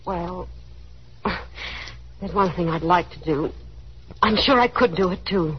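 A woman's voice: on the low side, slow. An actress playing a chatty, anxious young small-town woman putting on airs in a 1930s radio drama, with a gushing manner and nervous little laughs. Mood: wistful, brave front. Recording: dull, hissy 1930s radio recording.

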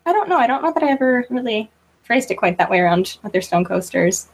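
A woman's voice: fairly high pitch, sing-song, fast, slightly rough. A woman chatting casually as a guest author in an interview, informal and self-deprecating in manner.

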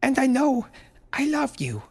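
A man doing a frantic, stammering mother in falsetto. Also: huge pitch swings, measured pace, vocal fry, darkish tone, slightly rough, heavily acted. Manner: breathless, stammering, pleading. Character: frantic, pleading young mother.

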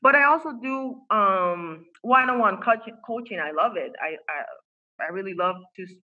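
Woman guest, Spanish as first language, earnest coach, husky tone, muffled call audio. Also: mid-range voice, expressive, normal speed. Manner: earnest, emphatic, many fillers and restarts. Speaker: guest, faith-based women's coach. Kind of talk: podcast interview. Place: home in Costa Rica.

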